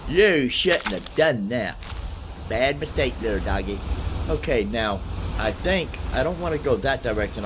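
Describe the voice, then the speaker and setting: A man's voice: medium pitch, noisy background. A man giving casual gameplay commentary as a streamer, thinking aloud in a rambling way and often repeating himself. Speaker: gaming streamer.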